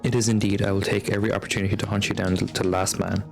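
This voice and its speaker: A man's voice: lowish voice, level tone, very fast, vocal fry, music in the background. A man playing a weary, stern, vengeful swordsman in a video game, speaking in a grave, formal way. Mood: grim defiance. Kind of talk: video game drama acting.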